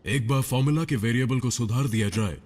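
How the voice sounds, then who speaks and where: A man playing a commanding, calculating robot villain scientist in a cartoon dub, measured and authoritative. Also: medium pitch, a little mellow, in a large hall, natural voice. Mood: self-assured. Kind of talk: dramatic Hindi cartoon dub.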